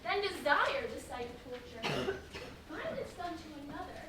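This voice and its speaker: A woman playing a cruel, megalomaniac Roman emperor in a theatrical staged reading, with grandiose, taunting, drawn-out delivery. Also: very high voice, sing-song. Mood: scornful. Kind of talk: theatrical staged musical reading, part sung a cappella. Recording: roomy, noisy, distant live stage recording.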